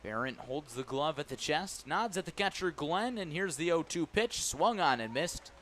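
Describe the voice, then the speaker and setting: A man calling a baseball game live on the radio as the play-by-play announcer, animated on big plays and playful with his phrasing. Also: fairly high pitch, normal speed, slight background noise. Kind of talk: live radio sports commentary. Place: live at a ballpark broadcast position.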